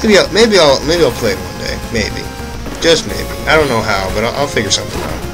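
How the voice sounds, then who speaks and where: A man's voice: medium pitch, very animated, fairly quick, rough recording. Rambling young man over game music. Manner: rambling, self-correcting, offhand asides. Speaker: let's play commentator. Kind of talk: casual gameplay commentary. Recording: game music loud behind voice.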